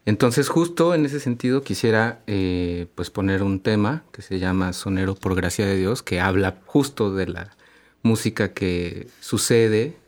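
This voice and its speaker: A man co-hosting a radio show on salsa, speaking calmly with a few hesitant fillers. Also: lowish voice. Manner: calm, measured, hesitant fillers. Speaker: radio co-host and salsa researcher. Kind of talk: casual radio talk. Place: radio studio.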